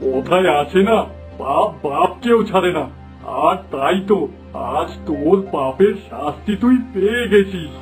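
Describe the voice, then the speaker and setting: A man's voice: high-pitched, lively intonation, measured pace. A man voicing a stern, scolding village elder and holy man in a folktale cartoon. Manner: scolding, admonishing. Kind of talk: Bengali moral folktale cartoon dialogue. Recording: music under the voice.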